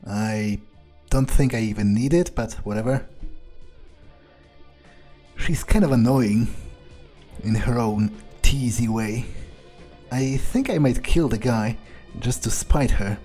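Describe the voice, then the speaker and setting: Man playing a mellow, deadpan, cynical vampire. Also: mid-range voice, slow pace, faint music behind, natural voice. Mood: scornful, musing. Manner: deadpan, sarcastic, offhand. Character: cynical, bored male vampire, casually murderous. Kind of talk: improvised in-character gaming playthrough.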